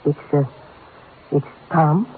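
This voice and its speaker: Timid middle-aged fusspot, stammering and easily discouraged, slightly high voice, vintage radio play with a thin tinny sound. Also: male voice, slow pace. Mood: fretful. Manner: nervous, stammering, hesitant. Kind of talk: 1950s radio acting. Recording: tinny old radio recording, faint noise.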